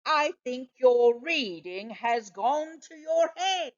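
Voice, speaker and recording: A woman reading a children's storybook as an indulgent, skeptical male dog who questions and gently chides. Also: high-pitched, expressive, slow pace, highs cut off, pitched above the performer's own voice. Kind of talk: children's storybook read aloud.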